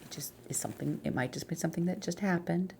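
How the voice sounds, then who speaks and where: Low, creaky woman in soft-spoken ASMR. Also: fast talker, a little hiss or hum. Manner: reassuring, explanatory, conversational. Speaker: ASMR creator role-playing an eye doctor.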